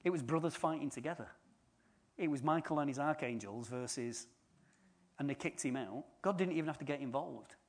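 A man's voice: on the high side, fairly quick. A man giving an informal sermon, chatty and joking with the congregation. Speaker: preacher.

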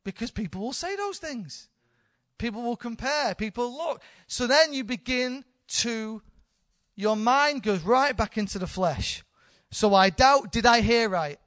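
A woman's voice: a little high, lively intonation, measured pace, fairly bright tone, no echo, studio quality. A woman in her thirties, a church leader, giving an informal, candid sermon with self-deprecating humour. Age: 30s.

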